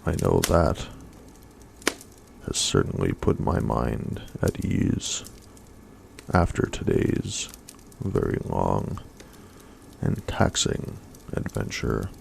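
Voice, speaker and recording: A man reading a fantasy story aloud in a soft-spoken ASMR style. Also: very deep voice, big pitch swings, takes it slowly, gravelly, long pauses, slight background noise.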